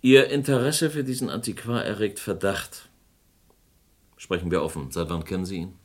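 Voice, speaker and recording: A suspicious police inspector in a radio crime drama. His low voice is formal and measured. He questions sternly, with polite insinuation. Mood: stern, probing.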